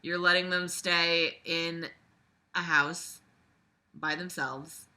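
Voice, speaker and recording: Exasperated woman with a very bright voice. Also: lowish voice, steady tone, takes it slowly. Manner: exasperated, blunt, sweary. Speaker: book reviewer reading aloud and critiquing. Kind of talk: casual sarcastic commentary while reading a novel aloud.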